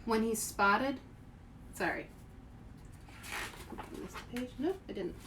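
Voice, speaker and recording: A woman hosting a children's read-aloud, expressive and speaking directly to young listeners. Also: on the high side, takes it slowly. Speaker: author and show host. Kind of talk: children's picture book read-aloud with casual asides. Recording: faint background noise.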